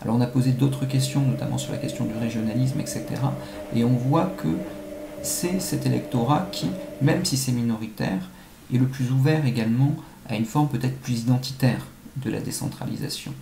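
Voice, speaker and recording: A man giving measured, analytical answers as an academic expert being interviewed, with some halting phrasing. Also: medium pitch. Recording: echoey room, faint-to-clear background noise.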